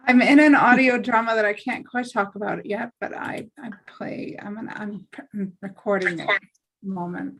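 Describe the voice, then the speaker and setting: A woman with a mid-pitched voice talks casually in a group. She is chatty and rambles a little as she goes. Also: steady tone, normal speed, a bit bright, poor audio. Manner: chatty, rambling, listing her credits. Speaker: actor, table read participant.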